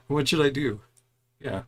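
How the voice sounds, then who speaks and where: A man's voice: mid-range voice, breathy edge. Casual man doing chatty let's-play commentary. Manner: chatty, murmurs short reactions between read lines. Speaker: gaming streamer playing through a story quest. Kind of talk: casual let's-play commentary, reading game dialogue aloud.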